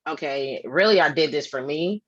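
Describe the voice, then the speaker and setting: A woman voice actor giving affirming feedback in a casual discussion, halting as she thinks aloud. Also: low voice, dry recording, lo-fi sound.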